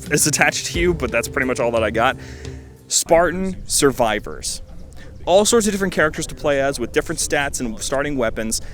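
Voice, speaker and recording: A man's voice: mid-range voice, fairly bright tone. A man giving casual, enthusiastic video game commentary as a host, stressing points by repeating words.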